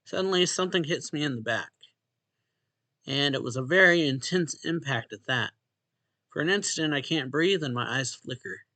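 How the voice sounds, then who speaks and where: A man's voice: fairly high pitch, expressive, normal speed, pushed and bright, no room sound. A man hosting a let's play, reading a visual novel's narration and dialogue aloud and casually acting out the lines.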